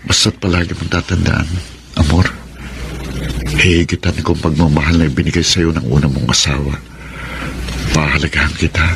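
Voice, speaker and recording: An actor with a Filipino accent playing an earnest, romantic, devoted middle-aged suitor in a radio drama. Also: male voice, a bass voice, fairly even intonation, a little fast, gravelly, pauses often, background noise.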